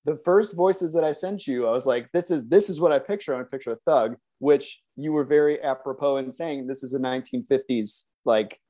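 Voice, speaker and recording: A man's voice: medium pitch, a little fast, hardly any pauses, mellow. An American man from the Chicago suburbs chatting casually as an interview guest, in a self-deprecating, chuckly way. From suburban Chicago, United States.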